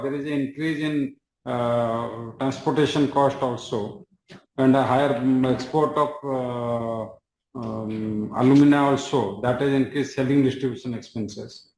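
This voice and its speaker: Hesitant Indian finance man, echoey room. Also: mid-range voice. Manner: hesitant, fragmented delivery. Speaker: company finance executive. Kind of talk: explaining financial results on a conference call. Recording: echoey room with faint noise.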